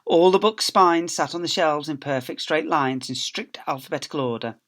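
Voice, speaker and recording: A man's voice: fairly high pitch, moderate pace, fairly bright tone. A man, a teacher reading aloud, tells a children's story expressively, with theatrical emphasis and phrases that drop away at the end.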